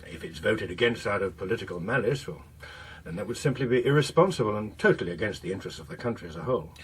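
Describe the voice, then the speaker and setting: An actor playing a suave, unflappable veteran British politician in a radio drama, measured, formal and drily witty. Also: male voice, fairly low pitch, animated, slightly rough. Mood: guarded, measured.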